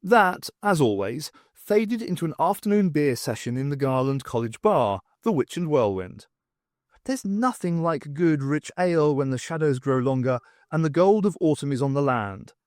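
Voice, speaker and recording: A man's voice: fairly high pitch, animated, normal speed, a little mellow, no room sound, studio quality. A man reading a novel aloud as an audiobook narrator, in scripted storytelling style.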